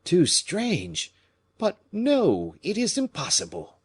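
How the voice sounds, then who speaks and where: A man reading an audiobook, voicing a proud, agitated French nobleman who gives sharp commands. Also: high-pitched, wildly swooping pitch, slow pace, husky, pitched above the performer's own voice, full character voice. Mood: imperious. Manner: imperious, exclamatory.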